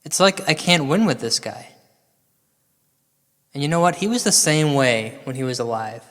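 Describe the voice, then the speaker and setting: A man's voice: fairly high pitch, animated, normal speed, a bit bright. A man performing a comic recital and monologue in a dramatic, exasperated manner. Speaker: comic storyteller. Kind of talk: theatrical poem recital turning into exasperated monologue. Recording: long reverb, like a large hall.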